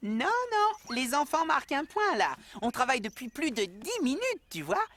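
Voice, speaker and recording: A woman's voice: high-pitched, very animated, normal speed, a bit bright. A woman voicing a cheeky, mischievous young animal pupil in a French children's cartoon. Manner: cheeky, playful. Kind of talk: French cartoon dub acting.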